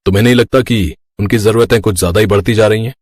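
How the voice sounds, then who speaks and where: A man's voice: on the low side, fairly even intonation, quick pace, a little mellow, no echo, lightly acted. A man voicing a devoted young suitor in a cartoon story, earnest, frank and urging. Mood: concerned. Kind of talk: Hindi cartoon moral story acting.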